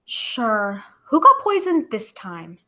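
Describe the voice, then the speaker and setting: A woman's voice: fairly high pitch, huge pitch swings, takes it slowly, pushed and bright, exaggerated voice. A woman playing an inquisitive, flustered female doctor in a 1940s radio drama, exclamatory and full of questions. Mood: surprised, puzzled. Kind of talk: 1940s old-time radio acting. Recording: clearly noisy recording with clicks.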